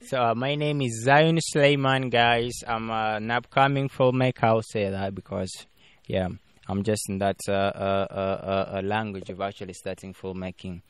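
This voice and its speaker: A man's voice: fairly low pitch, fairly even intonation, moderate pace, smooth, no room sound. A young man from Uganda, a filmmaker guest, chatting casually in an interview, with lots of fillers and restarts. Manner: rambling, frequent fillers and restarts.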